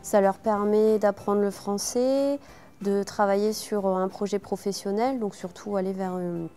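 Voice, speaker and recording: Mellow-voiced woman explaining, hesitantly. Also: mid-range voice, fairly even intonation, unhurried. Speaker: educator. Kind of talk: informal interview explanation. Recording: faint music under the voice.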